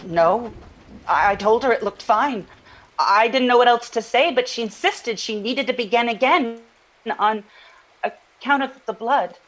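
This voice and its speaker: An actress playing a troubled woman who recounts an eerie dream, halting and searching for her words in a naturalistic audio drama style. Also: a little high, poor audio. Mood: uneasy.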